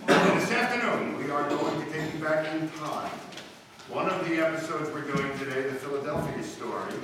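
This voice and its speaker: A man's voice: a little high, expressive, normal speed. A man hosting a live show, giving a scripted welcome from the stage. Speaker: host introducing a live radio show. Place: library hall before an audience. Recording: echoey hall, distant mic, clear noise.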